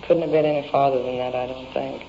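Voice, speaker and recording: A woman's voice: extremely low pitch, fairly even intonation, fast, gravelly. Woman telling police what happened, rambling a bit, muffled old field recording with hiss. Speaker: witness giving a police statement. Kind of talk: recounting events in a police interview. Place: indoors, in a home. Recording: muffled, noisy 1950s field radio recording.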